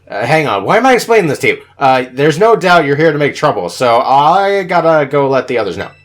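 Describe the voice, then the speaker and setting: A man's voice: a little high, sing-song, fairly quick, voice pushed up. A man voicing a nervous young gang guard in a video game, hesitant and informal. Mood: flustered.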